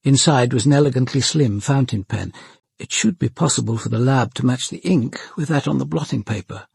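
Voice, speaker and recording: An actor playing an observant police detective, narrating a murder investigation in a grave, deliberate and precise way for a radio crime drama. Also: male voice, medium pitch, creaky, darkish tone, husky, no room sound. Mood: grave, methodical.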